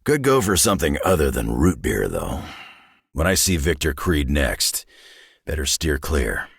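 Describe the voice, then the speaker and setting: A battle-hardened mutant brawler for a video game, growling from very deep in a husky rasp. He is gruff and cocky, swinging his pitch in dry, sardonic jabs. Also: big pitch swings, unhurried, no echo, full character voice. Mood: gruff, matter-of-fact. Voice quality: gravelly, raspy growl. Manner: gruff, dry, sardonic tough-guy delivery. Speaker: video game character voice actor.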